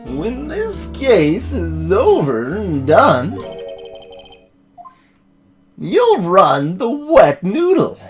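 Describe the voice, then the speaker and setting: A woman's voice: medium pitch, huge pitch swings, drawn-out words, long pauses, soft background music, exaggerated voice. A doddering old man played by a woman, eccentric and sentimental, in a video game dub. The delivery is folksy and drawn out, swooping widely as it rambles. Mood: bargaining.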